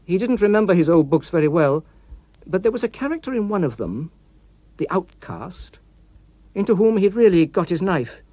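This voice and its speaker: Expressive male narrator, lively swooping pitch. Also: moderate pace, dry recording. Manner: precise, literary, expressive. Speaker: audiobook narrator. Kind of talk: scripted dramatic story narration.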